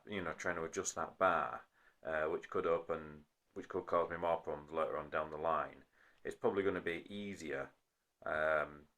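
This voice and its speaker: A man's voice: low voice, pushed and bright. A man talking casually to a phone as a hobbyist explaining a repair, rambling and often correcting himself apologetically. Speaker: hobbyist video maker. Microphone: phone recording.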